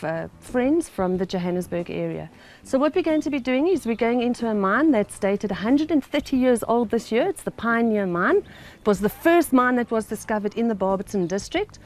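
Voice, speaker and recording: A woman's voice: medium pitch, a little mellow. A young woman giving a matter-of-fact explanation as a guide at a mine entrance outdoors. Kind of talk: informative tour talk.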